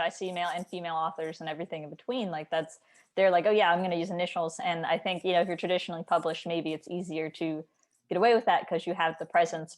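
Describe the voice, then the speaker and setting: A woman chatting casually and informally, as a writer hosting a book discussion, with "like" sprinkled through her talk. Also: on the low side. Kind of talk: casual conversational book chat.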